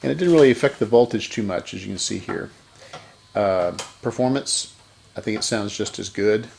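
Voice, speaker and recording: A man talks in a fairly low voice with plenty of vocal fry. He explains hands-on repair work without a script. He reasons step by step and corrects himself along the way. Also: normal speed, a little mellow, slight background noise. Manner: methodical, thinking aloud with self-corrections. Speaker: electronics repair host. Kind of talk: unscripted hands-on repair commentary.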